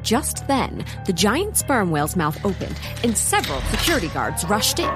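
Casting: female storyteller for comic audio fiction, lively intonation, medium pitch, music behind. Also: normal speed. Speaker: narrator. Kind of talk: scripted comic audio drama narration.

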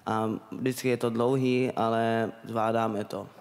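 A young man from the Czech Republic giving halting, hesitant panel remarks into a handheld microphone on a conference stage. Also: mid-range voice, little pitch movement, vocal fry, darkish tone. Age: young adult. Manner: hesitant, halting, repeats words. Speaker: self-advocate and panel speaker. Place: on stage in a large conference hall. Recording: reverberant conference hall sound.

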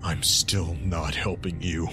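A man playing a bitter, defiant possessed skeleton in a comic dub, grim and halting. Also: low-pitched, steady tone, full character voice. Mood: bitter defiance. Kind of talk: fan comic dub voice acting. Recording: loud music underneath.

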